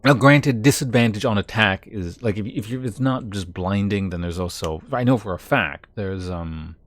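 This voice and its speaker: A man streaming a game, chatting casually with viewers and thinking aloud in a halting way. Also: on the low side, dry recording. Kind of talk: casual live-stream chat.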